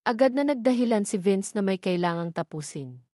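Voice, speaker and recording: Woman, Filipino accent, scripted story narration, mid-pitched voice, dry close-up sound. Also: expressive, normal speed, dark tone, no room sound.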